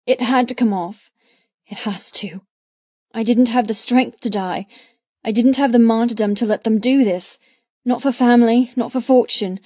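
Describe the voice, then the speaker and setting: A woman's voice: on the high side, dry recording, muffled recording. A woman reading first-person fiction as an audiobook narrator, with an intense, dramatic delivery in short phrases.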